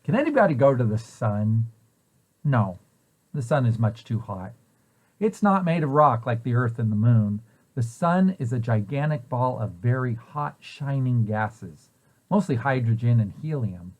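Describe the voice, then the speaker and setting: A man's voice: mid-range voice, animated, measured pace, a little mellow. A man reading a children's picture book aloud, posing questions and answers in a storytelling way for young listeners. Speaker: preschool site director reading aloud.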